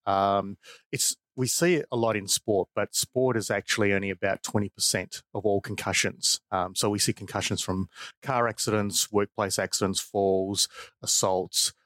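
A middle-aged Australian man with a fairly low voice. He speaks as an expert guest, explaining his field in an informal, conversational way. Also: fairly even intonation, moderate pace, a bit bright. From Perth, Australia. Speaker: expert guest, professor and researcher.

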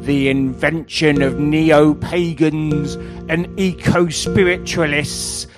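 A forlorn, ancient forest figure with a pirate voice, declaiming extremely slowly over clear background music. The pitch sits fairly high for a man, and the delivery is deliberate and theatrical. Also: little pitch movement. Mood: forlorn, brooding. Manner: deliberate, declamatory, with mangled grammar. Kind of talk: slow theatrical monologue.

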